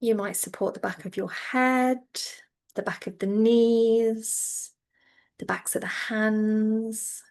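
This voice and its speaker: A woman teaching yoga nidra, guiding listeners into relaxation in a gentle, reassuring, informal way. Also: fairly high pitch, little pitch movement, slow, clean tone. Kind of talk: guided relaxation instruction.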